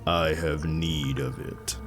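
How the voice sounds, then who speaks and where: A man's voice: rumbling low, flat delivery, slow pace, music in the background. A man doing a terse, grim warrior. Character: tall scarred male warrior, grim and terse.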